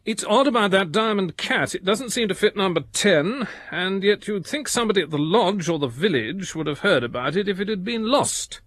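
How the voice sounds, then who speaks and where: A methodical English police detective in a radio play. His voice is fairly high for a man, with animated intonation, precise and businesslike. The recording is dry, with no room around it. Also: moderate pace, hardly any pauses, no room sound. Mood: businesslike, wry. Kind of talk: British radio drama, letter voice-over.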